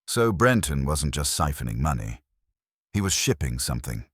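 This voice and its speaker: Very deep, grave detective, read by a man. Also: sing-song, slightly rough, no echo, clean studio sound, heavily acted. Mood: grave, certain. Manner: deliberate, final, pronouncing. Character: stern, deliberate male detective, grave and unhurried.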